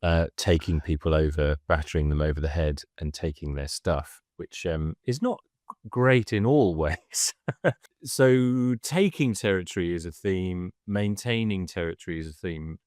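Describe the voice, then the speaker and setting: A man explaining history on a podcast, measured and hesitant, full of ums. His voice is very low. The recording is dry and close. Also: darkish tone, no room sound. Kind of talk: casual podcast chat, explaining.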